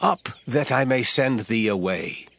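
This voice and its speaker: An actor playing an authoritative, assured prophet in dramatized scripture, solemn, declamatory and deliberate. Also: male voice, medium pitch, expressive, gravelly, fairly bright tone, heavily acted. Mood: assured, instructing. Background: background music.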